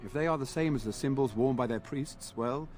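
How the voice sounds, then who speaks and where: An actor voicing a dutiful, formal knight captain in a video game. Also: male voice, medium pitch, brisk, darkish tone, close to an everyday voice. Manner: formal, crisp. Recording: game music playing behind.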